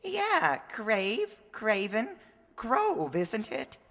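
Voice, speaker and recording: A very lively, swinging voice echoes in a big hall. It is a woman as an awkward, earnest teenage boy in an old-fashioned radio comedy, puffing up flowery formal phrases. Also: a little high, very slow, full character voice. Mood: eager, pompous. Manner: flowery, mangled formal wording. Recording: echoey hall, long reverb.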